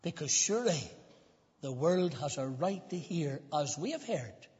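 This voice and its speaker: An older man giving a storytelling children's sermon in church, emphatic and sometimes dropping to a hushed voice. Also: fairly high pitch, big pitch swings, unhurried, breathy edge. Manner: storytelling, emphatic, drops to a hushed voice at key points. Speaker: preacher. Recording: dull recording in a reverberant hall.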